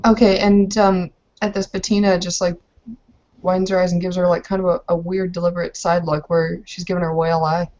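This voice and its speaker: A woman's voice: on the low side, level tone, a little fast, a little mellow, muffled recording. A woman casually chatting and narrating during a roleplaying game.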